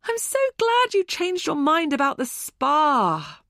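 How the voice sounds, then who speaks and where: A woman's voice: high-pitched, huge pitch swings, slow, a bit bright, no room sound, studio quality, voice pushed up, exaggerated voice. A woman reading an audiobook, voicing a chatty, upbeat woman friend.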